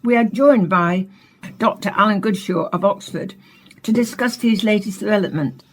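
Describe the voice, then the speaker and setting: A woman's voice: medium pitch, moderate pace, a little hiss or hum, natural voice. A woman playing the host of a science discussion show in a radio play.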